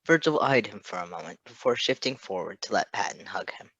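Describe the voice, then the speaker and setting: A man's voice: fairly low pitch, lively intonation, fairly quick, sharp tone, husky, no echo, muffled recording. A man reading fan fiction aloud as a narrator, with casual asides.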